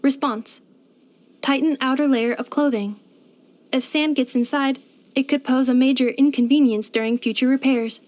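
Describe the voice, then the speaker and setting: Actress as female robot helper for a game: high voice, formal, precise, literal-minded, unfailingly polite. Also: fairly even intonation, normal speed, darkish tone, close to an everyday voice. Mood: matter-of-fact, helpful. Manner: formal, precise, clipped system-report phrasing. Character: polite, helpful female robot maid companion, earnest and literal. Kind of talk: scripted video game character voice lines.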